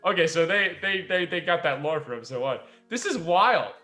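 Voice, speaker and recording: Bright-voiced young man joking around. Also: fairly high pitch, expressive, moderate pace, soft background music. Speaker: podcast co-host. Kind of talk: casual joking group chat.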